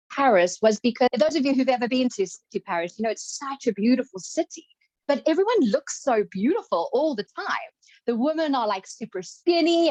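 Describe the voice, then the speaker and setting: A woman's voice: on the high side, no echo, dull audio. A woman born in Zimbabwe and raised in South Africa, with a mixed South African and British accent, telling stories in a motivational talk with laughing asides. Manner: storytelling, animated, laughing asides. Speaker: speaker.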